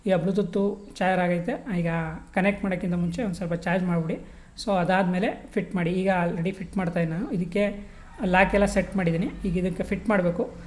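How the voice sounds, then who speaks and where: A woman's voice: on the low side, fairly even intonation, brisk, darkish tone. A woman giving a casual step-by-step tutorial, colloquial and mixing in English words. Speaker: vlogger demonstrating gear installation.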